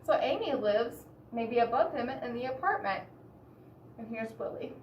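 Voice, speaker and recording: A woman reading a picture book aloud expressively to children, pausing to explain and ask the listeners questions. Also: a little high, lively intonation, dull audio. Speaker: children's story reader. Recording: roomy echo, distant mic, background hiss.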